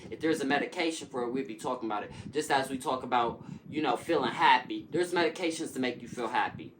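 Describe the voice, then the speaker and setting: A man's voice: medium pitch, quick pace. A man in recovery talking about addiction as an interview guest, earnest and emphatic, with preacher-like repetition. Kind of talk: casual interview talk. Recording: noisy recording with clicks.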